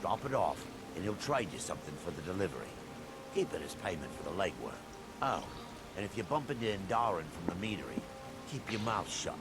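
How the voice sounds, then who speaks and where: Low-voiced shady fantasy conspirator. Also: male voice, very animated, fairly quick, over loud music. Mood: secretive, curt. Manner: terse, commanding, secretive. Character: shady conspiratorial male errand-giver in fantasy game. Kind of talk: video game dialogue acting.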